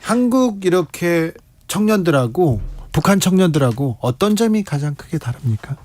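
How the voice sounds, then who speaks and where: A man hosting a radio interview, asking brisk questions and dropping in frequent short acknowledgments. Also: sits high, sing-song, darkish tone. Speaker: radio host. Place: radio studio.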